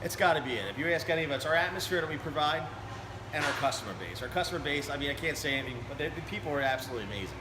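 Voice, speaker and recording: A man's voice: on the high side, lively intonation, very fast. A man who co-owns a small brewery, talking casually in an interview and telling his story with restarts. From Berlin. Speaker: brewery co-owner. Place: brewery taproom. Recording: echoey room with noise.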